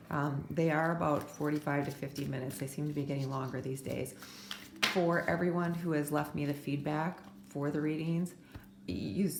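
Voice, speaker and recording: A woman's voice: low-pitched, creaky, reverberant. A woman giving a soothing pick-a-card tarot reading, her voice a bit scratchy from allergies. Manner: soft, soothing spiritual talk with many "right?" asides. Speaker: tarot reader.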